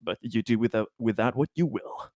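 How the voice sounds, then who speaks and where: A man at a tabletop roleplaying game, explaining the situation in a casual, deliberate way. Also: lowish voice, darkish tone, no echo, clean studio sound.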